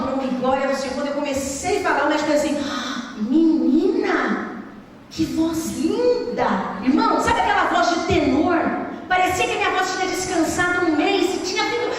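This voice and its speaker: A high-pitched female voice from São preaches to a congregation. She moves between conversational testimony and emphatic appeals to the faithful. The sound rings heavily around a big reverberant hall, picked up from some distance. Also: a bit bright. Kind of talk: animated testimony sermon. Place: church hall during a service. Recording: echoey hall, distant mic, faint noise.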